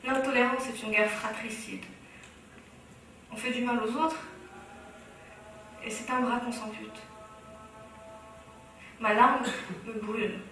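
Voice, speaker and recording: A woman's voice: a little high, flat delivery, takes it slowly, pauses often, pushed and bright, a little hiss or hum. A Moroccan woman on stage delivering a rhythmic, impassioned spoken-word slam in a large hall. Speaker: speaker. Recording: very echoey hall, from far off.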